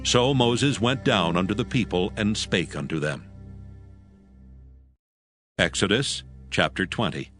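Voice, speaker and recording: A man with a medium-pitched, very lively voice narrates scripture dramatically. Music plays clearly behind his scripted reading. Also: unhurried, long pauses.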